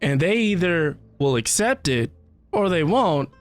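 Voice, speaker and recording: A man's voice: a little high, very animated, unhurried. A man giving casual, emphatic reaction commentary as a game streamer, speaking informally. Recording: faint game music behind.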